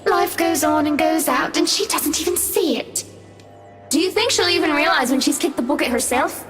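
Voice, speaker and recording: A woman voicing a cruel, mocking two-headed female apparition in a horror game, taunting with drawn-out, venomous words. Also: sits high, a bit bright, soft background music. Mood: scornful. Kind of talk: horror visual novel voice acting.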